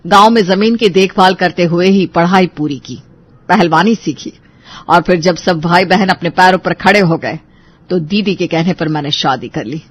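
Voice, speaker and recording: A woman's voice: lowish voice, normal speed, natural voice. A woman playing a tough, protective lawyer elder sister in an audio story, assertive yet reassuring. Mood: proud, reassuring. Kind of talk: Hindi audio story acting.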